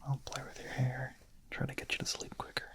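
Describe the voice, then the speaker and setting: A man playing a shy, gentle young vampire in a whispered ASMR roleplay, murmuring softly, hesitantly and intimately in a breathy voice. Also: medium pitch, monotone, a little fast, close to an everyday voice. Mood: tender, soothing. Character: shy, gentle young male vampire, smitten misfit confessing.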